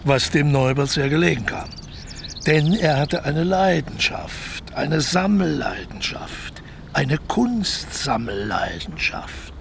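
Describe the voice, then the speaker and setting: An actor in a naturalistic radio play playing a sly, embittered male ex-convict, speaking deliberately and often dropping close to a whisper. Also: a little high, lively intonation, creaky, noisy background, exaggerated voice. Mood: secretive, savouring. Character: scheming, embittered ex-convict man plotting a lucrative burglary.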